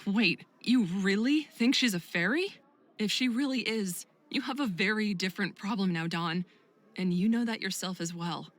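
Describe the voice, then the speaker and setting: A woman's voice: medium pitch, moderate pace, a bit bright, natural voice. A woman playing a protective, serious friend and mentor in a visual novel game, speaking in an earnest, admonishing way.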